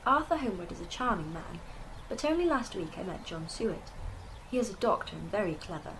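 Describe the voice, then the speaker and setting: Birdsong and noise lie behind a woman acting a genteel young lady in a radio drama. Her mid-pitched voice is sociable and chatty, full of affectionate admiration. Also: lively intonation, fairly quick, close to an everyday voice. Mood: admiring, gossipy. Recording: noisy recording with birdsong.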